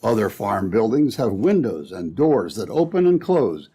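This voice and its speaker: A man's voice: lowish voice, sing-song, unhurried, mellow, slightly rough. A man announcing in scripted old-time radio style, with a peppy salesman's delivery. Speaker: announcer and narrator.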